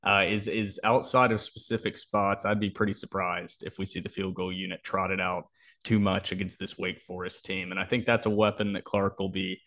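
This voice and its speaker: A man's voice: sits low, little pitch movement, normal speed, fairly bright tone. A man co-hosting a sports podcast, talking through analysis and predictions in a casual, explanatory way.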